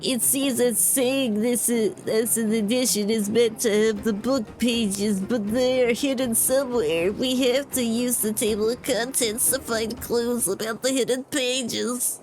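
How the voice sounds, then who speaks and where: A woman's voice: on the high side, steady tone, measured pace, hardly any pauses, a little mellow, soft background music, natural voice, poor audio. A woman playing an earnest, slightly cocky young boy in a video game, with an eager, childlike manner. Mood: earnest, puzzled.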